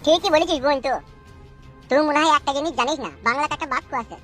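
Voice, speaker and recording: Cartoon thug, voiced by a man: young, vengeful, taunting, very fast and high, rural West Bengal accent. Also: fairly bright tone, faint music behind. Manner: taunting, bullying. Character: menacing, vengeful young male gangster kidnapper. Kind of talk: comic cartoon dub.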